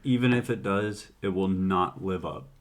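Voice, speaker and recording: A man's voice: low-pitched, moderate pace. A man on a podcast panel, chatting casually about films. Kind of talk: casual film discussion.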